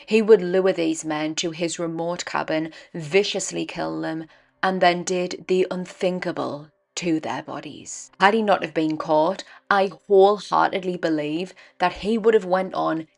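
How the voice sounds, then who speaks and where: A young woman hosting a true crime podcast, her voice croaky and dry from a cold, telling the case in an earnest, measured way. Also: fairly low pitch, steady tone. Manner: earnest, measured storytelling with emphatic stresses. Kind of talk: scripted true crime narration.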